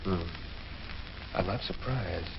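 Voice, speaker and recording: Low, grave doctor. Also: male voice, vocal fry, exaggerated voice. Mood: grave, confiding. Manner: measured, grave, deliberate. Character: grave middle-aged male doctor, cautious and ominous. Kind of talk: 1940s radio drama acting. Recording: hissy, muffled 1940s radio recording.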